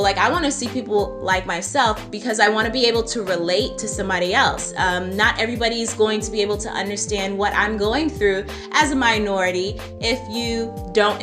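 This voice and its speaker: A woman's voice: mid-range voice, brisk, hardly any pauses. A woman introducing her own documentary in an earnest, reflective way. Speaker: documentary host. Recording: music bed underneath.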